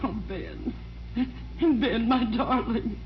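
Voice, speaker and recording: A woman's voice: fairly high pitch, lively intonation, takes it slowly. An actress playing a worried, devoted woman in love, pleading emotionally in an old-time radio melodrama. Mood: anxious pleading. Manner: emotional, imploring. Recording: muffled, hissy vintage radio recording.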